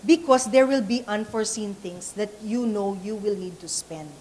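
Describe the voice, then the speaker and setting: A woman's voice: mid-range voice, moderate pace, darkish tone, slight background noise. A Filipina woman with an Ilonggo accent giving a conversational training talk, switching between English and Tagalog and repeating words as she thinks. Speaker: church event trainer.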